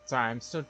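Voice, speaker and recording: A man livestreaming a game with casual, halting chat, often muttering to himself. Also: bright, forceful tone, a little hiss or hum. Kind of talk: casual gaming stream chat.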